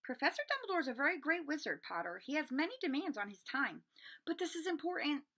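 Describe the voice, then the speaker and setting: Woman acting out strict schoolmistress, curt, authoritative, sharp bright tone, emphatic rises and falls, storybook character. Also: high voice, big pitch swings, quick pace, pitched above the performer's own voice, full character voice. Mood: cold, curt.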